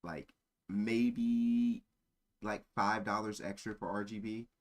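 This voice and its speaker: A man's voice: lowish voice, fairly even intonation, takes it slowly. A man streaming casual chat in a rambling, easily sidetracked way. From born in Germany. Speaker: live streamer.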